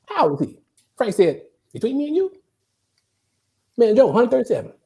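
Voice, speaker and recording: A woman's voice: fairly high pitch, wildly swooping pitch, moderate pace, dark tone, slight background noise. A woman playing an opinionated, boastful old male barber in a comedy table read, folksy and argumentative.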